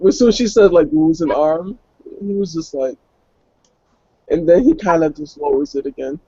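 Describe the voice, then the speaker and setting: Mellow, higher-pitched man, casual narration. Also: lively intonation, moderate pace, slightly rough, no room sound. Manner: informal, hedging with "like" and "kinda". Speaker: roleplay player. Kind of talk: casual narration of character actions. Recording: dull online call.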